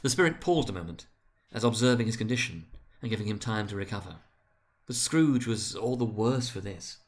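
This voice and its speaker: A man narrating a story dramatically, with a grave, deliberate and theatrical delivery. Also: fairly low pitch, expressive.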